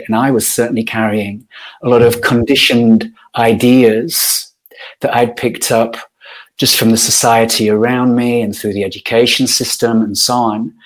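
Guest: middle-aged man, even mid-pitched voice, unhurried and reflective, lots of ums. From Yorkshire, northern England. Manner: thoughtful and unhurried, with frequent ums and pauses. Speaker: podcast guest. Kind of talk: reflective personal storytelling.

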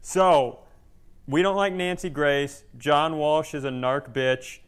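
A man's voice: on the high side, steady tone, slow, smooth. An American man co-hosting a podcast in casual chat, with a dry, wisecracking manner. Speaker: podcast co-host.

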